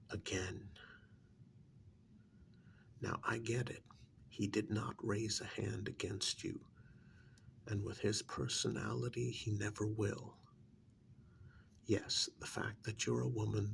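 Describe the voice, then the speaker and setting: A man playing a stern, exasperated handler of a covert operation in a soft-spoken ASMR role-play, speaking in a deliberate, halting, gravelly half-whisper with menacing emphasis. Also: on the low side, full character voice. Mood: quietly scolding.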